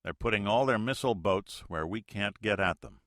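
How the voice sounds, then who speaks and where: A blunt submarine captain with a low voice, talking through tactics with a profane edge, as a male narrator reads him. The tone is bright and the recording is dry and close. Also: fairly quick, dry recording.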